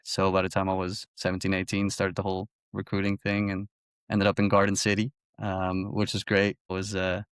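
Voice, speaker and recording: A man's voice: deep voice, monotone, fairly quick, clear, resonant tone, dry recording, clean studio sound. A man in his early twenties from Belgium, an athlete telling his story casually as an interview guest. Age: early 20s. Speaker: college golfer, interview guest.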